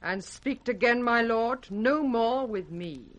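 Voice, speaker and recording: Cold, imperious princess, animated delivery. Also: female voice, on the high side, slow, lo-fi sound. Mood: cold defiance. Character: cold, imperious royal daughter, hard-hearted and scornful. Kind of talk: 1960s British radio Shakespeare acting. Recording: faintly noisy vintage radio recording.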